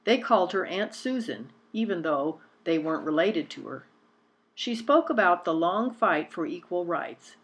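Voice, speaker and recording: A woman's voice: on the low side, lively intonation, moderate pace. A woman narrating a children's picture book in a scripted read-aloud style.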